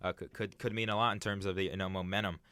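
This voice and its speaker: A young man with a fairly low voice chats about sports as a radio co-host. The sound is dry and close, with a casual conversational delivery. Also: steady tone, fast talker, sharp tone, clean tone, no room sound. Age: young adult.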